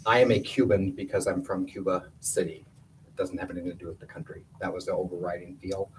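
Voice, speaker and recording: A man's voice: deep voice, little pitch movement, fast talker. A man giving a plainspoken, conversational report at a school board meeting in a meeting room. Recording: distant room mic with faint hiss.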